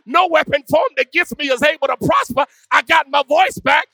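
A pastor preaching a sermon with call-and-response, swinging from hushed musing to shouted, sung climaxes. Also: male voice, extremely high pitch, moderate pace, no room sound, soft background music. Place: church.

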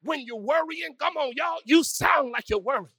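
A pastor preaching a sermon with call-and-response, swinging from hushed musing to shouted, sung climaxes. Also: male voice, extremely high pitch, expressive, normal speed, creaky, dry recording, studio quality. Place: church.

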